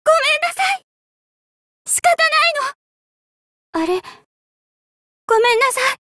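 A woman's voice: extremely high pitch, very animated, unhurried, bright, forceful tone, dry recording, studio quality, full character voice. A woman voicing a timid, apologetic young girl warrior in a fighting game, meek and pleading. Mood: pleading, distressed. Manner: meek, pleading, breaking into cries and battle grunts. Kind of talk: anime fighting game voice acting.

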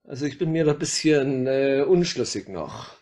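A man's voice: mid-range voice, animated, moderate pace, darkish tone, close to an everyday voice. A man playing a bumbling, hesitant house painter in an amateur comedy radio play, stammering with many fillers.

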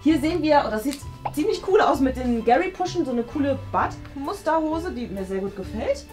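A woman's voice: sits high, animated, a little fast, a little mellow. A young woman casually thinking aloud as she hosts and plays a guessing game, playful, hesitant and rambling. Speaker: host and contestant guessing in a game. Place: small indoor studio room. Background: background music.

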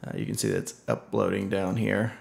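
A man giving a relaxed screen-recorded software tutorial, thinking aloud with many ums and uhs. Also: fairly low pitch, fairly quick, gravelly. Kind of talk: casual screen-recorded tech walkthrough.

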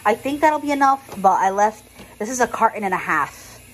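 A woman's voice: medium pitch, expressive, fairly quick, low-quality recording. A young woman chatting casually to her vlog audience at home, talking loosely with lots of "like" and restarts. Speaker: vlogger. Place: home kitchen.

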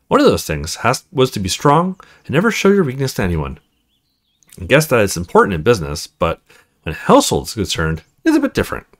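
A cool, confiding married woman from a visual novel, read aloud by a man in a mid-range voice. She speaks in measured phrases with very wide, expressive swings of intonation. She comes across as frank and self-possessed. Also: mid-range voice. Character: cool, confiding married female neighbor, self-described ruthless cougar.

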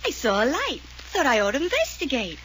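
An actress playing a coy, teasing young heiress who sounds tipsy, in an old-time radio mystery. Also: female voice, sits high, huge pitch swings, fairly quick, a bit bright, exaggerated voice. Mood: giddy, coy. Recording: noisy old radio recording.

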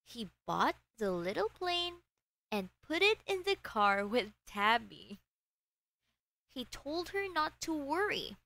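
A woman reading a children's book aloud in an expressive storytelling style. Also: a little high, poor audio.

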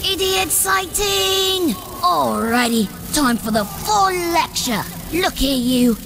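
A woman's voice: high-pitched, expressive, background noise, heavily acted. A woman voicing a tiny, boastful, bossy male elf as comic relief in a comic dub. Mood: bossy, frantic. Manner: dramatic, scolding, boastful, drawn-out words. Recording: loud music under the voice.

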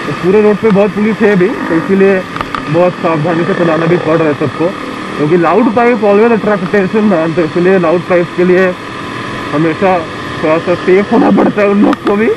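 High-voiced biker over wind noise. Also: male voice, normal speed. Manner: chatty, mixes Hindi and English. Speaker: motovlogger. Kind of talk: casual commentary while riding. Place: on a motorbike on city roads. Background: traffic and engine.

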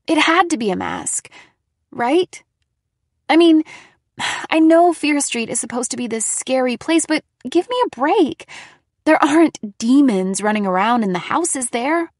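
A woman puts on a middle-aged mother for an audiobook. She is worried, doubting and bossy, with an insistent, questioning delivery on a somewhat high voice. The recording is very dry. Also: animated, a little slow, no echo, heavily acted. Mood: skeptical.

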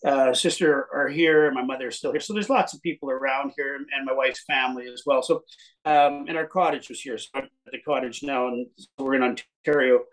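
An older Canadian-raised man talking in a relaxed, rambling way with many fillers, as an interview guest on a video call from a cottage. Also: mid-range voice, brisk, low-quality recording. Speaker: guest musician. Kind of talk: casual interview chat. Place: cottage room, indoors. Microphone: video call webcam.

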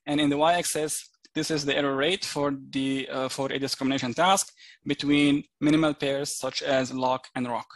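A man giving an academic lecture over slides, pausing often with fillers and restarting his sentences. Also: medium pitch, fairly bright tone, no room sound. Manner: hesitant, many fillers and restarts.

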